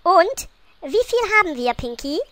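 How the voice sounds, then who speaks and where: A woman's voice: very high-pitched, very animated, a little slow, a bit bright, heavily acted. A woman playing a bossy, scheming little gnome queen in a comic audio play, sassy and exasperated. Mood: commanding.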